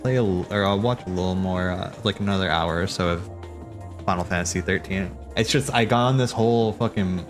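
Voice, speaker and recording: A man's voice: deep voice, brisk. A man hosting a livestream, talking in a casual, slangy, rambling way as he thinks aloud. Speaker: livestream host. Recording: background music.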